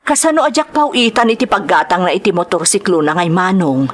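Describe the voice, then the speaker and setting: A woman's voice: on the low side, animated, fairly quick, lightly acted. A woman playing a worried young woman in an audio drama, with an Ilocano accent, telling her family's story. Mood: troubled. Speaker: actor in a radio-style drama. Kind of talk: dramatized narration and dialogue. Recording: clear background noise.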